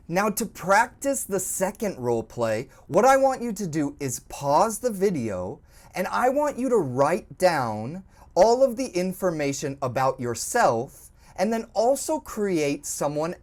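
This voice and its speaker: A woman with a low voice that rises and falls a lot, teaching an English lesson. She speaks slowly and clearly, prompting the learner and praising each try. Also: darkish tone. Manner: encouraging, repeats and prompts the learner. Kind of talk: slow, clearly spoken language lesson.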